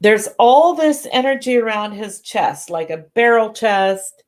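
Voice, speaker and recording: A woman doing live psychic readings who sounds congested and talks in halting bursts, often restarting and correcting herself. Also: on the high side, animated. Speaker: psychic medium. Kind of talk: live-stream readings, casual chat.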